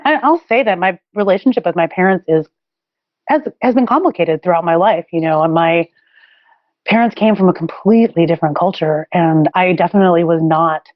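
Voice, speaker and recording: A woman in her forties speaking as an interview guest, candid and reflective, her thoughts tumbling out in restarts punctuated by light laughter. Her voice sits a little low and is recorded close and dry. The audio is somewhat dull and lo-fi. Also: no room sound. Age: 40s. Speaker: guest author. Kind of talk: reflective personal storytelling.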